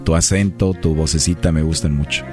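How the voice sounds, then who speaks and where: Deep-voiced flirty man on a prank call. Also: fairly even intonation, brisk, warm tone, faint music behind. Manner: smooth, flirtatious, playful. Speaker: radio prank caller.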